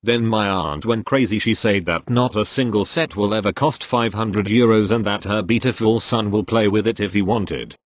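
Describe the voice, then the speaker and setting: A man reading online posts aloud as the regular reader of the channel, in an expressive storytelling way. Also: lowish voice, normal speed, hardly any pauses, no room sound.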